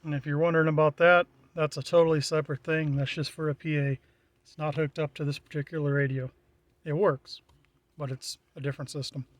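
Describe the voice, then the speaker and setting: A man's voice: a little high, flat delivery, fairly quick, no room sound. A middle-aged American man giving a casual, unscripted walkthrough in a plain-spoken, matter-of-fact way. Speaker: hobbyist presenter.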